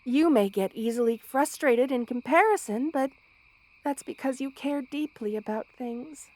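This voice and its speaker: A woman playing a gentle, motherly, centuries-old spider queen in an ASMR roleplay, speaking in formal, measured, soothing phrases. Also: high-pitched. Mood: tender, reflective. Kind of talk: ASMR roleplay, intimate scripted monologue to listener.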